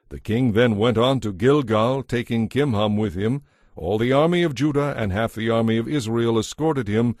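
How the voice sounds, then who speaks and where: A man narrating scripture from a script in a measured, formal manner. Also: mid-range voice, a little mellow, dry recording, clean studio sound.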